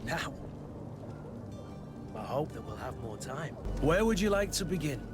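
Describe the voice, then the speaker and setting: A loyal mercenary bodyguard from a fantasy game. His voice sits a bit high, and he talks in a dry, plain, world-weary way with some fondness. Music plays clearly underneath. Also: big pitch swings, measured pace. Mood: fond, guarded. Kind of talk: scripted video game acting.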